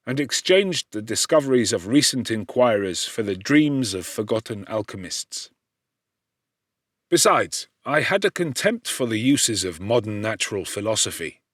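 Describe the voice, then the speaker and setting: A man narrating a classic novel as an audiobook in a measured, formal and expressive way. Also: medium pitch, dry recording, clean studio sound.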